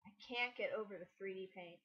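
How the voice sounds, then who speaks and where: A woman's voice: medium pitch, fast, pushed and bright, husky. A woman giving casual guest commentary, blunt and critical, explaining her objections as she goes. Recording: dull lo-fi home recording.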